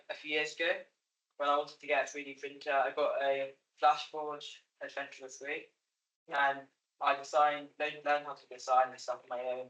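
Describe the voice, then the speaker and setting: A man in his thirties, speaking haltingly with many fillers about his small business. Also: on the high side, bright, forceful tone. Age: 30s. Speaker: guest, small business maker. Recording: dull roomy sound from a distant mic.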